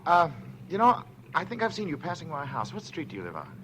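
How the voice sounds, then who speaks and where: An actor playing a smooth, predatory middle-aged man with insinuating talk in a 1940s radio suspense drama. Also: on the high side, wildly swooping pitch, fast talker, bright, forceful tone, exaggerated voice. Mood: folksy, luring. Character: smooth, predatory male stranger, around forty, secretly a strangler. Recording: noisy vintage radio recording.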